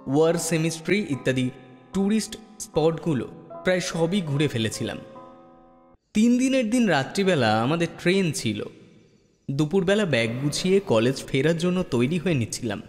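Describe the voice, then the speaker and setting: Male ghost story narrator in long reverb. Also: fairly high pitch. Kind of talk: dramatic first-person ghost story narration. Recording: long reverb with music bed. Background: background music.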